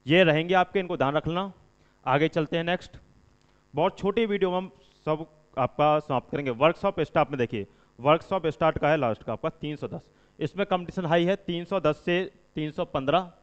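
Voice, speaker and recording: A man's voice: fairly high pitch, smooth. A man explains things informally to students as an exam-coaching presenter, instructing and repeating points for emphasis. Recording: dull lo-fi recording, long reverb.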